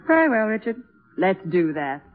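An actress playing a cool, restless young wife in a 1950s radio drama, with a self-possessed, dismissive manner. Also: female voice, mid-range voice, big pitch swings, normal speed, natural voice. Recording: muffled, band-limited 1950s radio recording.

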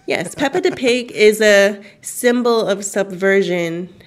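A woman of Chinese origin whose first language is Cantonese, speaking as a journalist guest in a radio interview, animated and laughing often. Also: medium pitch, takes it slowly.

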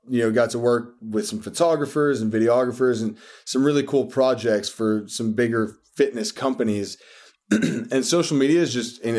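A man's voice: medium pitch, darkish tone. A man, a fitness coach, telling stories earnestly in a casual interview, often restarting his sentences. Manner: earnest, rambling, frequent restarts.

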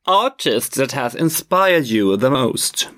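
A man's voice: medium pitch, big pitch swings, takes it slowly, fairly bright tone, no echo, studio quality. A man hosting an interview podcast in a playful, teasing way. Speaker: podcast host.

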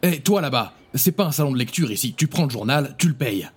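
Man voicing a hostile newspaper vendor, husky grainy voice, contemptuous, harsh insulting tone, audio drama. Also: medium pitch, lively intonation, quick pace. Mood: scornful, aggressive.

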